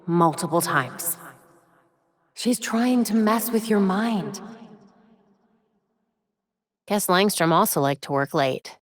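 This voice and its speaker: A woman voices a young female vigilante detective for a video game. She is determined and quick-witted, crisp and earnest with dry, wry asides. The sound is close and dry, with no room around it. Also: medium pitch, no room sound, close to an everyday voice. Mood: pleading. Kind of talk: video game voice acting, short scripted lines.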